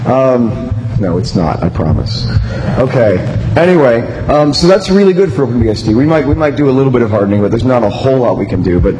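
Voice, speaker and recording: Male conference speaker, mid-pitched strained voice, rambling and wry, loud background noise in the room. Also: lively intonation, fast talker. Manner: chatty, joking, many fillers and restarts. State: losing his voice. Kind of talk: casual live tech talk. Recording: noisy live room recording.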